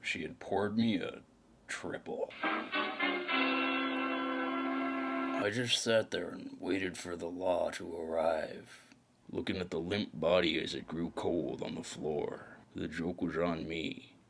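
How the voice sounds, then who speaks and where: Male actor as world-weary detective, low-pitched gravelly voice, brooding deliberate noir narration, fatalistic, faint noise. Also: fairly even intonation. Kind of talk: scripted hard-boiled noir narration.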